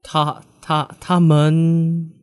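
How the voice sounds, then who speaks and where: Radio drama cheating boyfriend acted by a man, young, evasive, slow delivery, hesitant, flustered. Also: on the high side, monotone. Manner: halting, hesitant.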